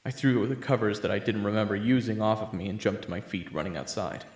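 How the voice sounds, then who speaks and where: A man performing a first-person audio roleplay, narrating and talking to the listener, often stammering and flustered, sometimes whispering and sometimes yelling. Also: on the low side, fast talker, noisy background. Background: video game soundtrack music.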